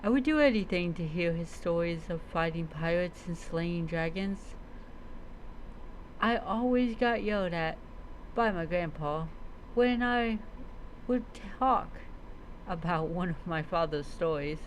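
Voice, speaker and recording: Slow-spoken woman storyteller over noise. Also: fairly low pitch, expressive, low-quality recording. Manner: halting reading with reminiscing asides. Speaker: roleplay streamer. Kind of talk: in-character storytelling, reading a story aloud.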